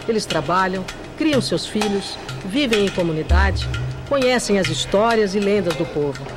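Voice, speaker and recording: Slow, solemn woman narrator over music. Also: mid-range voice. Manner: slow, measured, solemn delivery. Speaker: documentary narrator. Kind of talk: scripted narration. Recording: music bed under the voice.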